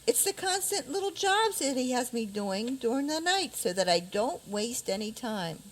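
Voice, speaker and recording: A woman's voice: fairly high pitch, sing-song, normal speed, slight background noise. A woman playing a weary, sleepless fairy-tale princess, plaintive and theatrical, in a radio theater comedy. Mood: weary, complaining.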